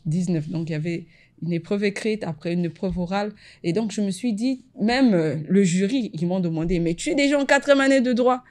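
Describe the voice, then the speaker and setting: A woman's voice: medium pitch, darkish tone. Woman guest recounting her studies. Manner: measured, repeats "effectivement" often. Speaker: guest, environmental lawyer. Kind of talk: casual interview.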